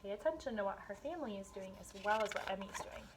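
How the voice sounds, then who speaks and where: A woman's voice: mid-range voice, lively intonation, noisy background. A woman kindergarten teacher leading a read-aloud lesson, explaining and posing questions to young children as she goes. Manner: instructional, asks questions and pauses for answers.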